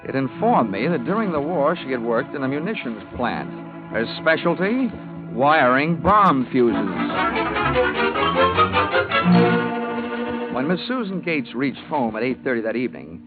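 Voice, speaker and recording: An American man playing a wisecracking, hard-boiled insurance investigator in a 1950s radio detective drama, with a wry, deadpan delivery. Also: mid-range voice, normal speed, over loud music, lightly acted. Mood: narrating. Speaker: lead actor and narrator. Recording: tinny, noisy vintage radio recording.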